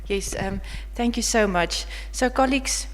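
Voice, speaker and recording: A woman chairing a conference session, thanking the speaker in a slow, hesitant way with fillers. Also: on the high side. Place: large conference hall. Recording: distant mic, hall reverb, crowd and hum.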